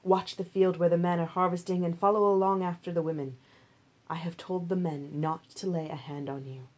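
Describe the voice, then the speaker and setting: A woman's voice: fairly low pitch, fairly even intonation, brisk, darkish tone, natural voice. Woman reading as a kindly, authoritative male landowner. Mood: protective, reassuring.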